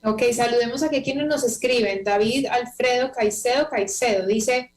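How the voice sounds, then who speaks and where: A woman's voice: a little high, moderate pace. Woman, show host, casual conversational questioner, thinks aloud. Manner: chatty, thinks aloud, reads out viewer comments. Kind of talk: conversational interview hosting.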